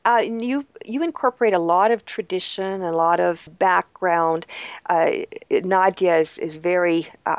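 A woman's voice: fairly low pitch, vocal fry, no room sound, highs cut off. A woman hosting a book interview, encouraging her guest with short affirmations. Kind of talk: interview host.

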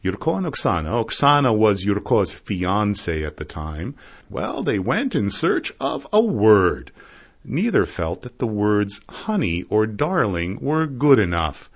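A man's voice: on the low side, very animated. A man from Canada giving a wry, playful scripted radio narration. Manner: wry, playful, slow and deliberate. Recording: dull lo-fi radio broadcast.

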